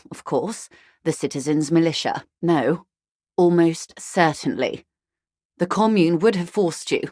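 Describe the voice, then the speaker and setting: An actress playing a worldly older upper-class woman in a video game, speaking in a measured, wry, faintly condescending manner. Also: lowish voice, no echo, clean studio sound. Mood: resigned, musing. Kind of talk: video game character dialogue.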